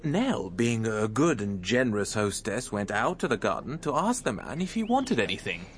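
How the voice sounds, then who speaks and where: An actor playing a lively, composed man who recounts a story in a radio drama. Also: lowish voice, normal speed. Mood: reflective storytelling. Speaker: narrator and character. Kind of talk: dramatic radio narration and dialogue.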